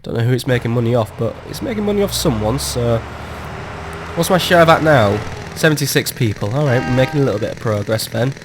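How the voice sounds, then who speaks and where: A chatty man talks through his gameplay in a loose, joking way, now and then swearing at his own luck. His voice is still a little off from a recent cold, and a steady noise sits underneath the recording. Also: mid-range voice, fast. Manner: chatty, self-mocking, swears when annoyed. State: recovering from a cold. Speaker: gameplay commentator. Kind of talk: casual let's-play commentary. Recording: audible background noise.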